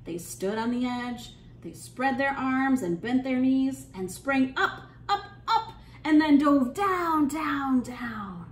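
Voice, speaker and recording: Woman, children's storytime reader, unhurried, encouraging, animated delivery, echoey room with some noise. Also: high voice. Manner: encouraging, asks listeners questions. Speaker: children's librarian leading storytime. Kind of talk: animated read-aloud to young children.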